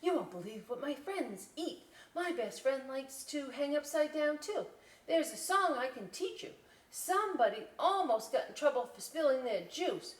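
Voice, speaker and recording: An excitable little boy bat from a storybook, put on by a woman reading aloud. The voice is raised high and sounds earnest and animated, heard in an echoey room. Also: normal speed. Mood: excited. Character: eager, earnest young male bat in a storybook. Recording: echoey room, distant microphone.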